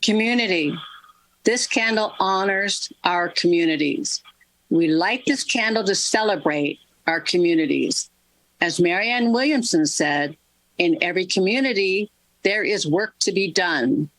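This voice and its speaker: A woman reading a scripted tribute in a formal, solemn and deliberate way. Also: on the low side, a bit bright, dry recording, low-quality recording. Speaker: ceremony reader.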